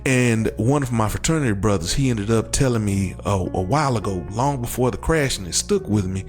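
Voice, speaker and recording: A man's voice: on the low side, expressive, a little fast, darkish tone, faint music behind. A man with an American accent giving personal testimony as an interview guest, earnest and repeating phrases for emphasis. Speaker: show guest, crash survivor.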